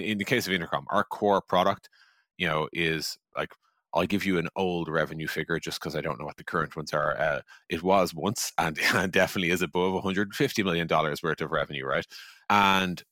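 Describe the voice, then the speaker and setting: A man's voice: low voice, pushed and bright, no echo, clean studio sound. A man talking casually and emphatically as a co-host in a business discussion, peppering his points with filler words. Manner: conversational, emphatic, peppers talk with "like" and "you know". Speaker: podcast co-host and software executive.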